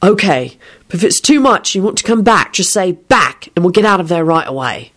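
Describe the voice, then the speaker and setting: A woman voicing a patient, protective but nervous male character, speaking in a measured way in a dramatized storybook reading. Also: on the low side, animated. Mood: reassuring.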